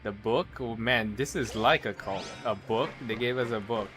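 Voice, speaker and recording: A man's voice: lowish voice, moderate pace, music in the background. A man livestreaming a game, commenting casually and wryly and reading on-screen text aloud. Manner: casual, wry.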